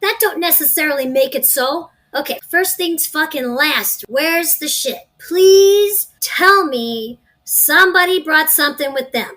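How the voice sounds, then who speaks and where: Woman playing a brash, high-voiced female gang boss. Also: measured pace, hardly any pauses, bright, forceful tone. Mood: bossy, impatient. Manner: impatient, sarcastic, profane. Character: brash, foul-mouthed female crime gang boss giving orders. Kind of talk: audio drama acting.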